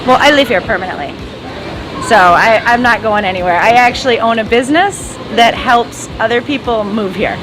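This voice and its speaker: A woman's voice: fairly high pitch, moderate pace, a crowd in the background, background noise. Chatty woman in her thirties. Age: 30s. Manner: chatty, lots of "like" and "you know". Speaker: interviewee, business owner. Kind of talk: casual chat.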